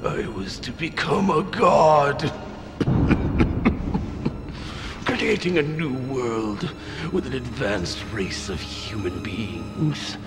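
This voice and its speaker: An actor playing a frail, dying old mastermind in a video game, speaking in a grandiose, bitter, theatrical manner. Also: male voice, medium pitch, animated, extremely slow, long pauses. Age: older. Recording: echoey hall reverb under loud music.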